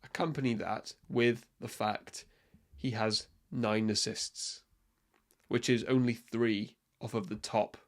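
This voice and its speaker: A man's voice: lowish voice, fairly even intonation, takes it slowly. A young man from Wales, hosting a casual solo sports podcast and talking in an opinionated, rambling way with frequent ums and restarts. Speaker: podcast host.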